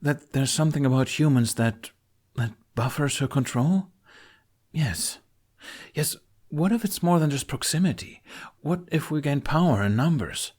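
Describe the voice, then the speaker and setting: A man's voice: fairly high pitch, a little slow, darkish tone, pitched above the performer's own voice. A man voicing a heavyset, earnest, brainy teenage Viking boy who thinks aloud, in an audiobook. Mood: musing, excited.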